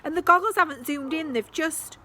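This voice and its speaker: A woman's voice: mid-range voice, normal speed, bright, forceful tone, slight background noise, close to an everyday voice. A woman voicing Max, a cautious and thoughtful young boy in a storybook reading. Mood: worried.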